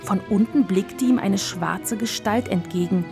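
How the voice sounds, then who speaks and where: A woman tells a children's story as narrator, measured and clearly articulated. Her medium-pitched voice has a creaky edge, with music playing behind it.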